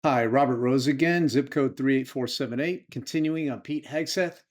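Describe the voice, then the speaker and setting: A man's voice: medium pitch, normal speed. An American man speaking emphatically and indignantly as a political activist making his case. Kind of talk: impassioned spoken political advocacy.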